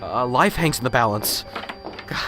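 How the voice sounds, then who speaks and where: A man's voice: mid-range voice, animated, normal speed, music in the background, close to an everyday voice. A man playing a nervous, unsure professor in an amateur radio drama, muttering anxiously to himself and stammering.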